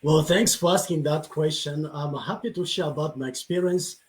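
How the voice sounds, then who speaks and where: Zambian-born pastor, higher voice, measured. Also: male voice. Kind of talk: panel conversation answer.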